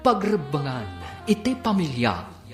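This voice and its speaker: A man's voice: fairly high pitch, wildly swooping pitch, slow, echoey room, faint music behind. A man with an Ilocano Filipino accent giving dramatic scripted narration as a radio drama announcer.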